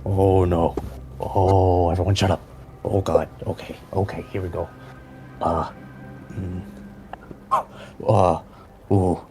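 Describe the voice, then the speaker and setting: A man voicing a panicky bearded man in a tabletop roleplay, muttering and stammering in halting bursts. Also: sits low, level tone, mellow, faint music behind. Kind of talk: tabletop roleplay in an audio drama podcast.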